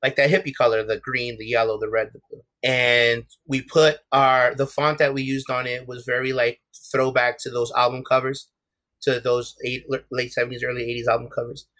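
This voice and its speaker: Emphatic New York man with bright tone. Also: medium pitch, level tone, moderate pace. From USA. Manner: frequent restarts and fillers, candid and emphatic. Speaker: guest. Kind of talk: casual interview talk.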